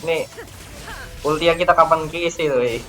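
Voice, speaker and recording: A man's voice: fairly high pitch, normal speed, sharp tone, rough recording. A man giving casual, slangy gameplay commentary, reacting in short exclamatory bursts. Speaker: gaming streamer. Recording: voice over game music.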